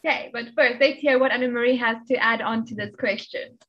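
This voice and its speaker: A woman's voice: a little high, steady tone, fairly quick. A young woman hosting a casual panel chat over a video call in a playful, joking way. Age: young adult. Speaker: show host. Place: small home room, video call. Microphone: desk microphone with pop filter. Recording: dull video-call audio.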